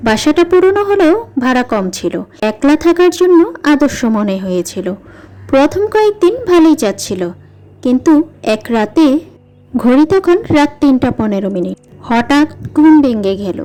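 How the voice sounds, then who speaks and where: Female horror narrator: high, mellow tone, suspenseful scripted delivery. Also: sing-song, normal speed. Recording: music behind the voice. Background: background music.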